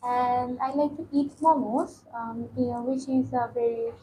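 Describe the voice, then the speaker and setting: A teenage woman from Bangladesh, answering exam questions slowly with many ums and pauses. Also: fairly high pitch, level tone, dark tone, slight background noise, poor audio. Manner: hesitant, frequent ums and pauses. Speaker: test candidate. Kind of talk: mock speaking exam answers.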